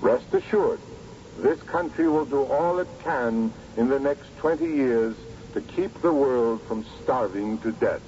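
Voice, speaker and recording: US president, oratorical and solemn, mellow mid-range, muffled vintage radio sound. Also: male voice, medium pitch, expressive. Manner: oratorical, deliberate, grave. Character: solemn male American president addressing a doomed nation. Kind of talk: formal broadcast address in a radio drama. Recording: dull, noisy old radio recording.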